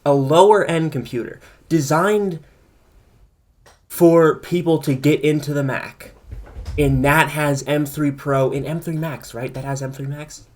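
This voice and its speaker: Guy on a tech podcast ranting his opinions, rambly, kinda thinking out loud. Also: a little high, steady tone, measured pace. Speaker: podcast co-host. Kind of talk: casual tech podcast chat.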